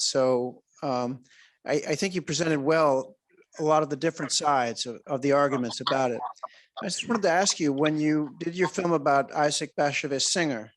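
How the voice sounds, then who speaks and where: Hesitant man hosting a film Q&A. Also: on the high side. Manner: hesitant, many ums and restarts. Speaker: festival interviewer. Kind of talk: festival Q&A interview.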